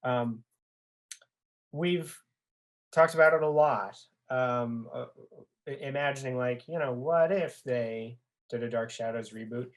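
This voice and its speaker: A man's voice: mid-range voice, a little slow, pauses often. A man talking as a guest in a casual discussion, hesitantly working through his thoughts as he goes. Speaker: podcast guest, fan community member.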